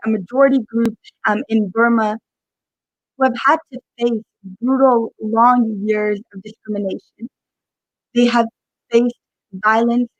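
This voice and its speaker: A woman hosting a talk show, introducing her guest in an earnest, measured way with some fillers. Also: fairly high pitch, no room sound, low-quality recording. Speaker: host.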